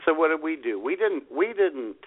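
A male radio co-host in casual comic banter, drawing out his words with pauses in mock nostalgia. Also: fairly high pitch, sing-song. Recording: narrow, phone-like radio sound.

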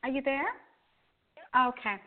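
A woman's voice: high voice, steady tone, measured pace. A woman from Kenya hosting an online interview, hesitant with many fillers and restarts. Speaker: host. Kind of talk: casual interview over a call. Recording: tinny phone-like call audio.

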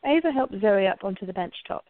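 A woman's voice: medium pitch, animated, brisk, no echo, narrow, tinny audio. A woman narrating a scripted radio play as a storyteller.

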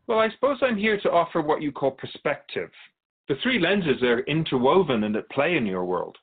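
A man playing a calm otherworldly being in a radio drama interview, explaining humanity to humans in a measured, knowing, didactic way. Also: a little high, slightly rough, phone-quality sound.

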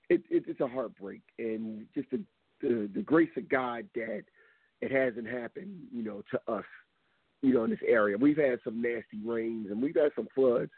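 A hoarse man hosting a radio talk show, talking casually and rambling with many ums. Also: medium pitch, no echo. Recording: tinny phone line.

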